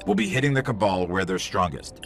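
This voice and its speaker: A man voicing a small, clever, wry robot guide in scripted video game dialogue, speaking conversationally with loud music behind him. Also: low-pitched, fairly quick. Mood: focused, urgent. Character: small floating robot companion, male, clever, wry, guiding.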